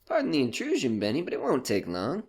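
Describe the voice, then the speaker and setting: A man's voice: medium pitch, huge pitch swings, fast talker, gravelly, heavily acted. A man playing a falsely friendly, menacing stranger in an audio drama, mock-polite and sing-song. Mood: sly, taunting. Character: menacing, falsely friendly male stranger, oily uninvited guest.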